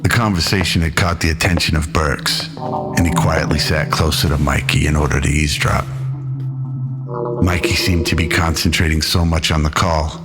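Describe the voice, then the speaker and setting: A man narrates a scripted audio drama in an extremely deep voice. Music plays clearly behind his storytelling. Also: steady tone, normal speed.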